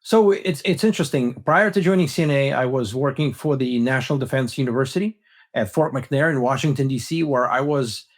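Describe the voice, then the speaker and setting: A man speaks as a guest expert. His delivery is measured and analytical, and his pitch sits in a middle range. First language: Russian. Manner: measured, explanatory, uses "right?" as a check. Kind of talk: analytical interview talk.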